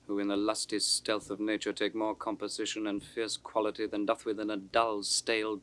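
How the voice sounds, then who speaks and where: An actor playing a scheming, bitter young nobleman's bastard son in classical Shakespearean style, theatrical and deliberate, sometimes dropping to a conspiratorial whisper. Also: lowish voice, a bit bright. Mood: defiant, brooding. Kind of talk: classical Shakespearean stage acting. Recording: 1960s studio audio drama recording.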